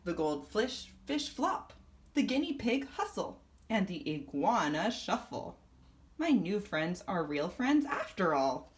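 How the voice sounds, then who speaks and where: A woman reading a children's story aloud in first person, expressively and deliberately, acting out the tale. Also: mid-range voice, sing-song, a little hiss or hum. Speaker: storybook reader.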